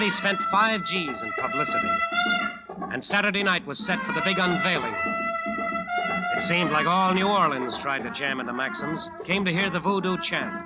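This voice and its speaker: An actor playing a cocky, hard-boiled American jazz bandleader who narrates his eerie story in a 1940s radio drama. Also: high voice. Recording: muffled old radio recording with music.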